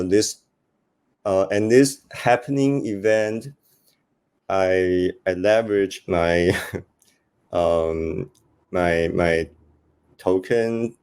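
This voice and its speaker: Low-voiced, halting Taiwanese man. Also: darkish tone. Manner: halting, hesitant delivery with repeated words. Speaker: conference panelist, investor. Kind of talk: informal panel talk.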